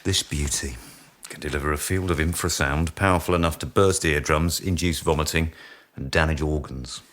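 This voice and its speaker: A man's voice: a bass voice, steady tone, moderate pace, fairly bright tone. An actor playing a driven, bossy male project leader in a radio drama, blunt and commanding. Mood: boastful, commanding. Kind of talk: naturalistic radio drama acting.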